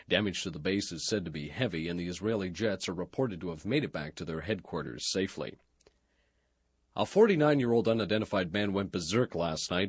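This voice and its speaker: A low-pitched male announcer reads scripted radio news and sports copy. His voice has a husky grain and a formal, measured broadcast delivery. The sound is dry, with no room around it. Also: no echo.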